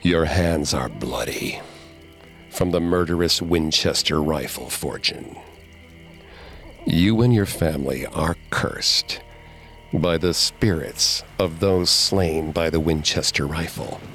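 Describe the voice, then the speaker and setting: A man's voice: very deep voice, fairly bright tone, soft background music, voice pushed down, heavily acted. A man voicing a groaning chorus of vengeful spirits. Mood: menacing. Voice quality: groaning, ominous, slow. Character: crowd of vengeful murdered spirits speaking through a medium.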